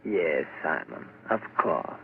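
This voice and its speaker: Slow, grave radio doctor. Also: male voice, a bass voice, very animated, vocal fry, heavily acted. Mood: grave, pitying. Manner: deliberate, probing, grave. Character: calm, measured male doctor of mental patients. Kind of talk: 1940s radio drama acting. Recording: dull old radio broadcast, faint hiss.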